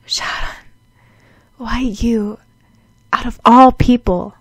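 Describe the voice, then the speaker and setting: A woman's voice: a little high, steady tone, takes it slowly, husky, dry recording, pitched above the performer's own voice. A woman voicing a lovestruck, easily flustered young girl in an audiobook, emotional and gushing. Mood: flustered longing. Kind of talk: audiobook character voice.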